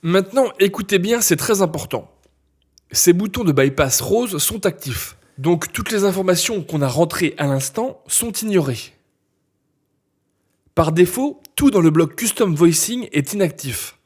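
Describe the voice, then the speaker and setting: Male instructor, slightly high husky voice, scripted step-by-step software tutorial read. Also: expressive, unhurried.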